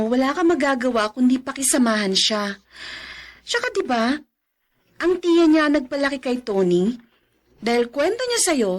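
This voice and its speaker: Chatty, high-pitched friend. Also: female voice. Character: chatty, practical female friend giving advice. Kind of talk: Filipino radio drama acting.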